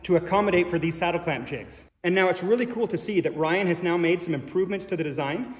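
A middle-aged man with a fairly high voice explains a woodworking tool, step by step and upbeat. He talks from a large workshop, and the room rings around him with a long reverb tail. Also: lively intonation, quick pace. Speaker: woodworking channel host. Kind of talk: scripted product demonstration. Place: large woodworking shop indoors. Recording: echoey shop reverb.

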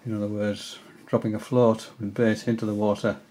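An older man at home giving a hesitant, casual tutorial, often pausing with fillers as he shows his reels. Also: lowish voice, little pitch movement, mellow. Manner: hesitant, many fillers and restarts. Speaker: angling hobbyist giving a tutorial. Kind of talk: casual explanatory demonstration. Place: indoors, small room at home.

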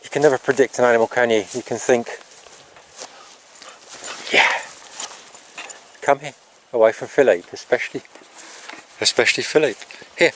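A middle-aged man in a casual, rambling vlog, talking haltingly outdoors on a farm into a handheld camera. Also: mid-range voice, slight background noise. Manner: halting, breaking off to talk to animals. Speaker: farm vlogger. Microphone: handheld camera at arm's length.

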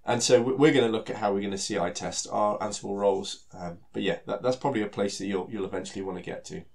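A man's voice: fairly low pitch, quick pace, creaky. Stuffy-nosed British man with a cold. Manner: relaxed, many fillers and repeated words. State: has a cold, nasal and stuffy. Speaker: solution architect giving a technical talk. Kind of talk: conversational technical presentation.

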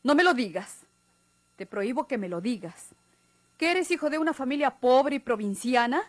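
A strong-willed Mexican sweetheart in a radio drama. Her slightly high voice is husky and swings widely in pitch, with an emphatic, commanding delivery. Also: expressive, moderate pace, dry recording. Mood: reproachful. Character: bossy, encouraging, proud sweetheart of a timid young man. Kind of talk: 1950s-style Mexican radio drama acting.

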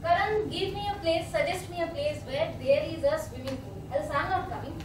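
A young woman, hosting a workshop meetup and explaining a group game to volunteers, with many fillers. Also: high-pitched. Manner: speaks slowly with many fillers, explaining patiently. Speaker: meetup facilitator. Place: hotel meeting room, indoors. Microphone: far camera. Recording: echoey room from far off, noisy.

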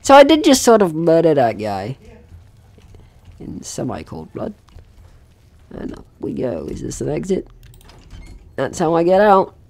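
A woman's voice: low-pitched, wildly swooping pitch, measured pace, creaky, pauses often, dark tone. A woman giving casual, rambling and playful let's play commentary as host, swearing now and then. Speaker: gaming video host.

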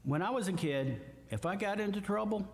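An older man with a Midwestern American accent, a guest preacher giving a slow, emphatic sermon with scripture readings from a church lectern. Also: high-pitched, animated, gravelly. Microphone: lectern mic, far camera. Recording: echoey church hall from far off.

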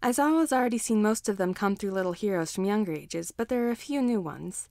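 Woman, story narrator, scripted reading, mid-range pitch, dry recording. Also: lively intonation, fast, mellow, no echo. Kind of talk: scripted narration, audiobook reading of fan fiction.

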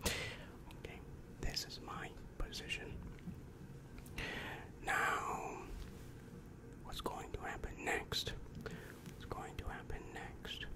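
Casting: young male to play exasperated demon guidance counselor for ASMR roleplay; whispered, very slow, patronizing, close-mic. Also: close to an everyday voice. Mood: calm, officious. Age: young adult. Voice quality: breathy whisper. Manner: slow, repetitive, patronizing reassurance. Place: small dim room indoors. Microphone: large microphone very close to mouth.

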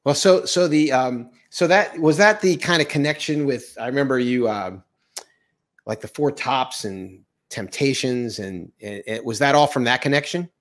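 A man's voice: mid-range voice, normal speed, a bit bright. A man in his sixties interviewing a guest in a chatty, friendly way, hesitating as he builds his questions. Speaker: interviewer host. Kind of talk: casual interview chat. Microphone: studio mic on boom arm, video call.

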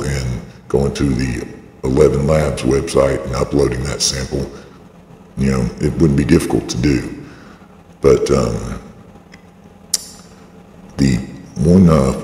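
Extremely deep, gravelly, voice-changed man, echoing. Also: dark tone. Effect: voice-changing device lowering the voice. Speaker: video blogger. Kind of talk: personal spoken statement, rambling monologue. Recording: processed, echoey voice with long reverb.

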